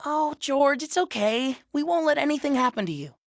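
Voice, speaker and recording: A woman playing a cheerful, optimistic young boy hero in a cartoon game, playful and reassuring, with loud music behind. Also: high-pitched, animated, moderate pace, very noisy. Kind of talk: animated cartoon game voice acting.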